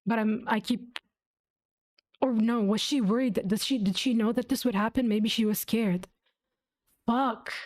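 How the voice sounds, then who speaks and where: A woman's voice: a little high, moderate pace, long pauses, darkish tone, no echo, studio quality. A woman giving casual, emotionally invested reaction commentary on a game, thinking aloud and swearing freely. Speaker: game streamer. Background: faint game music.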